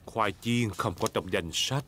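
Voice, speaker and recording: A man's voice: fairly low pitch, measured pace, sharp tone, a little hiss or hum. A man voicing a jolly, good-natured father pig in a dubbed preschool cartoon, playful and jovial.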